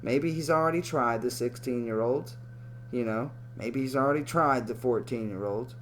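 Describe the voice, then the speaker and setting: A man's voice: medium pitch, normal speed, a little hiss or hum. A man giving casual, profane and sarcastic reaction commentary over a video clip.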